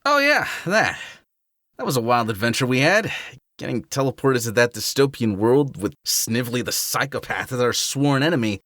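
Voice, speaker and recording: A man's voice: medium pitch, sing-song, moderate pace, fairly bright tone, no room sound, studio quality. A man playing a laid-back, cocky young cartoon hedgehog hero in an audio drama, talking in casual slang. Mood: breezy, curious. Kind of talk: fan-made audio drama acting.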